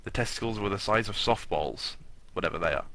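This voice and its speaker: A man's voice: on the low side, a little fast, fairly bright tone. A man hosting and narrating a comic story in a dramatic reading style. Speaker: narrator and host of a comic fan fiction reading.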